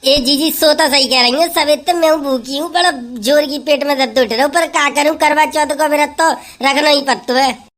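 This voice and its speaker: A woman's voice: sits high, steady tone, normal speed, fairly bright tone. A woman voicing a sensible village sister-in-law who consoles and advises in a comic sketch. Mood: consoling.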